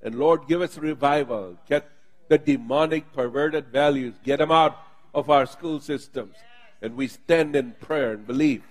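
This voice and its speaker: A man's voice: a little high, measured pace, rough recording. A man preaching and leading his congregation in prayer, speaking fervently and with emphatic bursts. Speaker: pastor.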